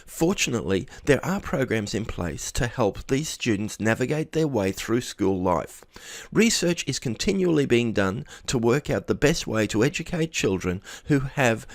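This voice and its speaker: A man's voice: medium pitch, very animated, normal speed, no echo. A man reading a scripted article aloud, sometimes stumbling over a word and rereading it. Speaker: article reader.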